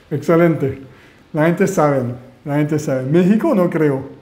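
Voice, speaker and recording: A man with a French Canadian accent, a native French speaker, giving an animated webinar presentation as an online marketing mentor and asking his audience questions. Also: on the high side, slow, echoey room.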